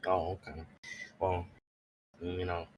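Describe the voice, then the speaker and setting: A man's voice: sits low, monotone, slow pace, slight background noise, poor audio. A man playing a tabletop roleplay, speaking casually in character with a polite, chatty, slightly tentative manner.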